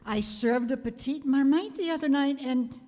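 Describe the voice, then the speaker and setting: A woman's voice: high voice, normal speed, mellow, close to an everyday voice. A woman playing a reserved, worried housewife out shopping in a live radio play, answering tersely and with hesitation. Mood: reserved, uneasy. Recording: reverberant hall, live stage performance.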